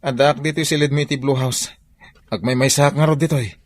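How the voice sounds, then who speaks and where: A man's voice: medium pitch, steady tone, brisk, dry recording, natural voice. An actor playing a troubled, dejected man short of money in an Ilocano radio drama, speaking in a downcast way.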